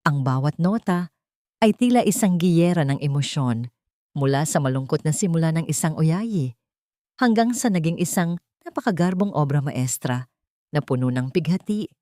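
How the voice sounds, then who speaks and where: Low-pitched woman narrating a drama. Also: a little mellow, no echo, clean studio sound. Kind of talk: dramatic scripted storytelling.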